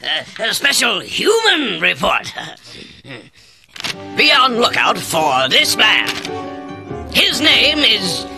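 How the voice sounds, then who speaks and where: An actor voicing a dim monster posing as a human news reporter, speaking haltingly in broken grammar. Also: medium pitch, wildly swooping pitch, creaky, music in the background, full character voice. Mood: feigned earnest alarm. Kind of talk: mock news bulletin in a video game.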